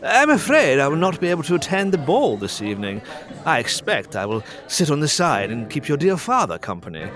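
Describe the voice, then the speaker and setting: A man playing a frail, fussy, self-pitying hypochondriac gentleman suitor in a farcical audio drama. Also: on the high side, wildly swooping pitch, a little fast, over music. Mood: doddering eagerness. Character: frail, fussy, stooped thin hypochondriac suitor, Sir Charles.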